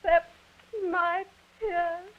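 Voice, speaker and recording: A woman's voice: extremely high pitch, little pitch movement, extremely slow, full character voice. An actress playing a dramatic woman of a theatrical family, emphatic, in 1940s radio drama style. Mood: mournful, grandiose. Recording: dull, band-limited 1940 radio broadcast.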